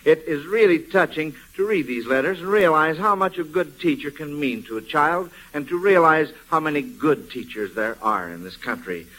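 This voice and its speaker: Bright-voiced man hosting a radio quiz. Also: fairly high pitch, animated, normal speed, few pauses, rough recording. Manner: encouraging, affirming children's answers. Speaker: quiz show host. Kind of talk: old-time radio quiz hosting, partly ad-libbed.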